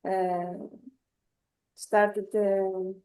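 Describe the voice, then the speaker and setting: A woman from Greece speaks English, her second language, very slowly and haltingly, with pauses full of fillers. Her voice is mellow and dark. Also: medium pitch, little pitch movement, clean tone. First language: Greek. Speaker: researcher and educator. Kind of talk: online meeting introduction.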